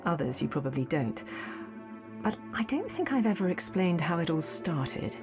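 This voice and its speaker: Seasoned woman adventurer, wry, knowing, animated intonation, music underneath, audio drama monologue. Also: on the low side, moderate pace. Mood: wry, reflective.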